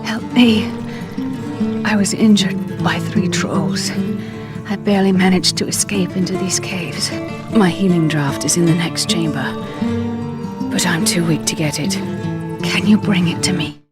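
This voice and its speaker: A woman voicing a gentle, wounded female wood elf in a video game cutscene. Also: lowish voice, unhurried, pauses often, over loud music, natural voice. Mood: weak, pleading.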